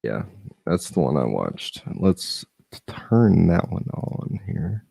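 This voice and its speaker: A man's voice: deep voice, very animated, slow, vocal fry, mellow, slightly rough, no echo. A man hosting a casual stream, chatting loosely and thinking aloud while he is losing his voice. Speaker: host. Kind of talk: casual live-stream reading and chat.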